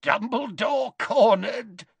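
A man voicing a gleeful villainous henchman in an audiobook, crowing in a drawn-out, excitable way. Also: extremely high pitch, creaky, slightly rough, no room sound, pitched above the performer's own voice, full character voice. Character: gleeful, wheezy, lumpy male henchman villain.

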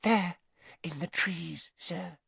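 Storybook house-elf, male reader: tiny cringing female servant, very high squeak, stammering broken grammar. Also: slow pace, gravelly, fairly bright tone, breathy edge, dry recording, full character voice. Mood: frightened, meek. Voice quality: squeaky little voice.

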